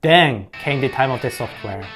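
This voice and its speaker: A young man with a Japanese accent delivers scripted commentary in a sarcastic, joking way, his pitch rising and falling a lot. Now and then he bursts out loudly. Soft music plays behind him. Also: expressive, normal speed. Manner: sarcastic, jokey, with sudden loud outbursts. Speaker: YouTube commentator.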